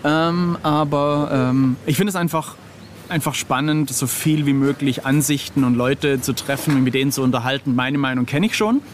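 A man's voice: mid-range voice, moderate pace, a little hiss or hum. A middle-aged man talking casually as an interview guest, hesitant and drily self-deprecating. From Germany.

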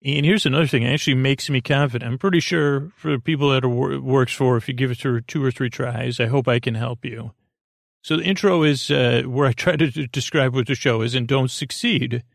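A man with a creaky, gravelly voice hosting a sleep podcast in a meandering, halting, goofy monologue that keeps interrupting itself. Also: mid-range voice, dry recording, clean studio sound. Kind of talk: rambling bedtime monologue.

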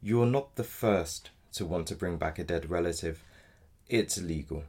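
An actor in an audio drama playing a polite but blunt defence solicitor, gravelly and measured in his delivery. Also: deep voice, a little mellow. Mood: blunt, resigned. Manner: measured, matter-of-fact, formally polite. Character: apologetic but blunt male defence solicitor in dystopian future.

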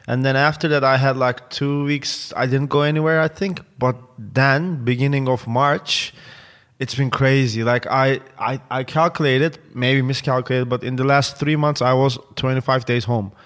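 A man's voice: mid-range voice, fairly even intonation, moderate pace, a bit bright. A young man from Turkey with a Turkish accent, chatting casually as a podcast guest, full of fillers and restarts. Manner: rambling, many fillers and restarts. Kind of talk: casual interview chat.

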